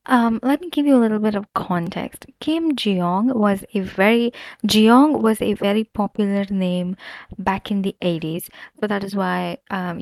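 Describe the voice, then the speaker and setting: A woman's voice: medium pitch, a little mellow, dry recording. A woman hosting a casual solo podcast, chatting animatedly about a book with frequent fillers and asides. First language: not Korean. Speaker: podcast host.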